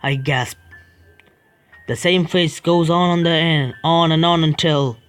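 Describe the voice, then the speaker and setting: A man's voice: fairly high pitch, fairly even intonation, fairly bright tone, smooth, soft background music. Jittery man reading a horror game aloud. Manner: halting, stumbling reading, scared outbursts at the game. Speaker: gaming streamer. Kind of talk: reading a horror visual novel aloud with reactions.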